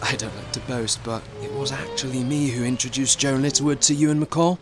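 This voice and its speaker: Male actor as 1930s radio producer, genial, pompous, brisk, medium pitch, radio drama, music underneath. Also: lightly acted. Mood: genial, self-satisfied. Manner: brisk, pedantic, mildly pompous. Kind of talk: radio play acting and narration.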